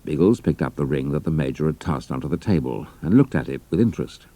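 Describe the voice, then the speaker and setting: A British man narrates a scripted adventure tale. His voice is very deep and dark-toned, with animated rises and falls that carry the storytelling. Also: fast.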